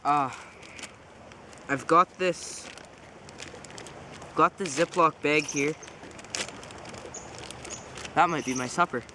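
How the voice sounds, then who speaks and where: A man's voice: on the high side, slow pace, long pauses, a little hiss or hum. A man with a head cold casually demonstrating survival gear outdoors, rambling and correcting himself as he goes. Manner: relaxed, rambling, self-correcting. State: congested with a cold. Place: outdoors by a pond.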